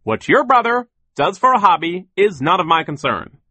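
A man voicing a gruff, impatient male boss in an audiobook story, barking dismissively and emphatically. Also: sits high, sing-song, moderate pace, sharp tone, no room sound, pitched above the performer's own voice, exaggerated voice. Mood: stern, dismissive.

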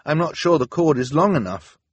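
A man's voice: a little high, lively intonation, a little fast, breathy edge, dry recording, voice pushed up. A man voicing a principled, cautious young boy in an audiobook, speaking firmly and earnestly. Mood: worried. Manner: firm, earnest. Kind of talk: audiobook character acting.

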